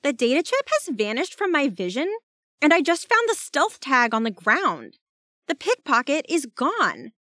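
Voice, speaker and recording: A woman voicing a frustrated young woman hacker in an audiobook, sharp and indignant. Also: a little high, normal speed, hardly any pauses, clean tone, dry recording, studio quality. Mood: urgent, accusing.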